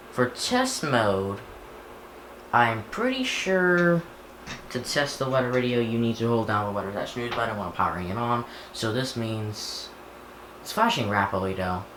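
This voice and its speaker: A man chatting casually and haltingly to himself as a hobbyist vlogger handling a device, recorded indoors. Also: mid-range voice, lively intonation, a bit bright. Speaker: hobbyist vlogger unboxing gadgets. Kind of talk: casual unscripted chat while handling a device. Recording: echoey room, clear background noise.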